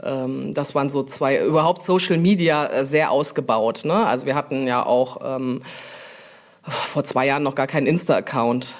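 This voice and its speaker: A woman answering interview questions informally, explaining things with frequent pauses to think. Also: a bass voice. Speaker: brand manager, interview guest.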